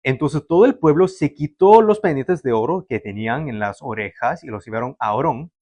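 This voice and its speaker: A man's voice: mid-range voice, lively intonation, normal speed, darkish tone, dry recording. A young man born in Canada, preaching a sermon to a livestream audience in a conversational way, with fillers and restarts. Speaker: pastor.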